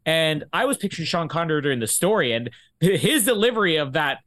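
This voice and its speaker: A man's voice: fairly high pitch, animated, fast, a bit bright. A man hosting a casual podcast book discussion, thinking aloud in a rambling way. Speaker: podcast host.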